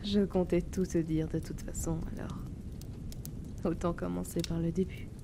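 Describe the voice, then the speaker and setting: A woman playing a melancholic young noblewoman haunted by her past in an audio drama, speaking in a hesitant, hushed, wistful way. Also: fairly low pitch, normal speed, vocal fry, background noise. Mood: grave, confiding. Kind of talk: slow introspective audio drama monologue. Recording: steady loud rainfall behind the voice. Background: rain.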